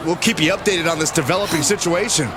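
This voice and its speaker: A man's voice: a little high, quick pace, background noise, natural voice. A man playing a wrestling commentator in a video game, talking in a broadcast style. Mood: concerned. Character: concerned male wrestling commentator.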